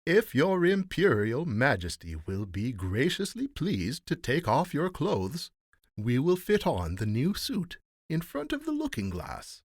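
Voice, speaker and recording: Sly storybook swindler, voiced by a man, raised pitch, lively swoops, showy and obsequious. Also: measured pace, breathy edge, no room sound, clean studio sound, heavily acted. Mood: oily flattery. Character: sly swindling male weavers, obsequiously flattering the emperor.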